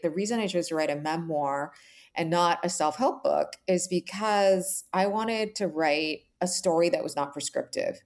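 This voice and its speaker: Earnest woman, slightly low voice. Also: a little mellow. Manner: earnest, explanatory, frequent "like" and "right?". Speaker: author, interview guest. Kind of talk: reflective, conversational podcast interview answers.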